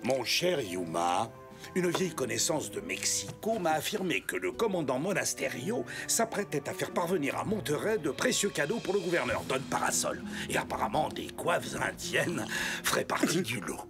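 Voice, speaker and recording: An actor playing a sly, smooth-talking swindler in a French cartoon dub, insinuating and theatrically self-assured. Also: male voice, fairly high pitch, very animated, normal speed. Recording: loud music behind.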